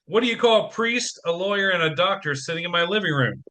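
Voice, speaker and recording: An actor playing a harried single dad in an audio play, joking and self-mocking in a theatrical manner. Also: male voice, high voice, lively intonation, quick pace, bright, forceful tone. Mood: wry banter.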